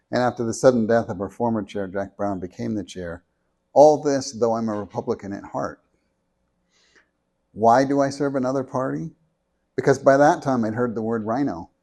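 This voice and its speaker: A soft-spoken man reading a prepared talk into a handheld microphone at a meeting, measured and deliberate, with small restarts. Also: medium pitch. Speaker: speaker at a meeting. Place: meeting room with an audience.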